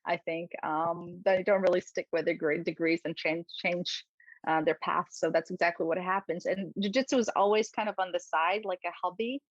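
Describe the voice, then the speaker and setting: A woman's voice: lowish voice, steady tone, moderate pace, no echo, dull audio. A woman in her thirties from Poland, a jiu-jitsu coach speaking thoughtfully in a podcast interview, with fillers and small laughs.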